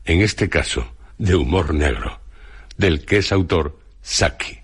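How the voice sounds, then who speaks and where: A man presenting a radio drama programme with a measured, solemn scripted introduction. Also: low-pitched, slightly rough. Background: background music.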